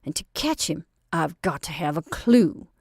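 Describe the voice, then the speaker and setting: A woman voicing a thin, impatient man posing as Santa Claus, speaking crisply and sneeringly. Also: low voice, expressive, unhurried, darkish tone, no echo, studio quality, exaggerated voice. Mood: insistent.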